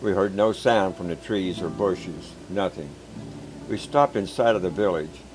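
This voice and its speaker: A man reading a first-person war story in a calm, measured, plain-spoken way. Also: low voice, rough recording. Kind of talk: scripted first-person narration. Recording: background music.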